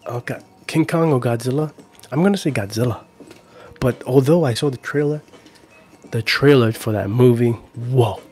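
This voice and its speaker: A man's voice: mid-range voice, normal speed, darkish tone, faint music behind. Casual male livestreamer, forties, mid-range voice, playful and easygoing, close-miked in a home room. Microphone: handheld-style recorder on a stand, close up.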